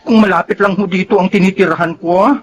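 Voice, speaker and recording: A woman playing a caring, animated young cousin in a Filipino radio drama. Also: mid-range voice, fast talker, lo-fi sound, faint music behind, natural voice. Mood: concerned.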